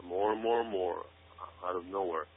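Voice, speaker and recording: A man's voice: low-pitched, fairly even intonation, moderate pace. A man giving conspiracy-minded commentary as a radio show guest, speaking emphatically and repeating words and phrases for stress. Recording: narrow, phone-like radio sound.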